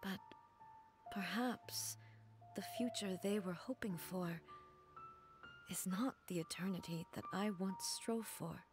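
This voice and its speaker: A woman voicing a composed, regal ruler-god in a video game, measured and solemn. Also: mid-range voice, music in the background, lightly acted.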